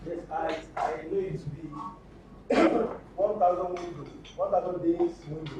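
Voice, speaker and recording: A man's voice: sits high, fairly even intonation, normal speed. A man taking part in a workshop, answering a question aloud. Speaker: workshop participant. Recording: very echoey, distant, muffled, clearly noisy.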